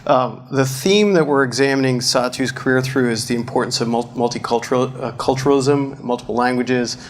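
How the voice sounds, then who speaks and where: A man's voice: medium pitch, brisk. Upbeat man hosting a stage chat, faint hiss. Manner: upbeat, chatty, lots of um and uh. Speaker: interviewer, moderator. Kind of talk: onstage fireside chat. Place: event stage in front of an audience.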